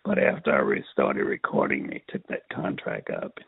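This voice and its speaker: An older man from Texas with a raspy, strained voice, telling his life story in an interview in a halting way, repeating words. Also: a bass voice, animated, no echo. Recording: tinny phone line.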